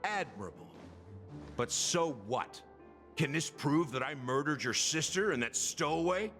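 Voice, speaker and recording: A man's voice: a little high, lively intonation, unhurried, fairly bright tone. An actor playing a defiant, sardonic security officer and bartender in a video game, mocking and theatrical in his delivery. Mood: grudging amusement. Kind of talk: dramatic video game voice acting. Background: game music.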